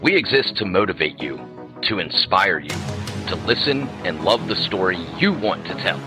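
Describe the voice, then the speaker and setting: Male podcast host, very low voice, sincere scripted read, music playing under him. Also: big pitch swings, moderate pace.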